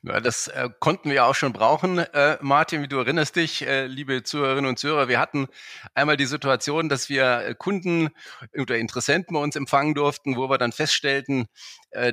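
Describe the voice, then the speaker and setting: A man's voice: medium pitch, bright, forceful tone, no room sound, studio quality. A man hosting a bank podcast, friendly and enthusiastic, with many filler sounds as he talks to a colleague. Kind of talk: podcast conversation.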